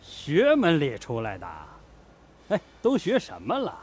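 A man's voice: fairly high pitch, wildly swooping pitch, unhurried, a little mellow, slight background noise. An actor playing a brash, teasing, boisterous young male factory worker in a radio drama.

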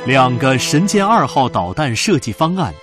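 Expressive male narrator over music. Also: mid-range voice, moderate pace. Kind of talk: scripted dramatic documentary narration for radio drama. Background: background music.

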